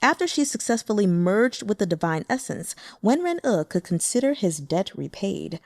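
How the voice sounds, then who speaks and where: A woman reading a translated fantasy novel aloud as an audiobook narrator, in an expressive, measured storytelling manner. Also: medium pitch, gravelly, no room sound, clean studio sound. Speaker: audiobook reader.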